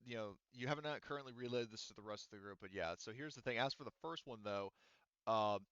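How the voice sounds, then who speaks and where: Low-voiced man running a tabletop game. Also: fast talker, a bit bright, clear, resonant tone, dry recording, muffled recording. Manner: rambling, lots of fillers and restarts. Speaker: game master.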